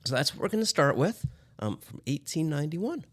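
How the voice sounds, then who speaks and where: A man hosting a music show, chatting casually and explaining old recordings in a halting way. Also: fairly high pitch, big pitch swings, fairly bright tone. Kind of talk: casual chat about vintage recordings.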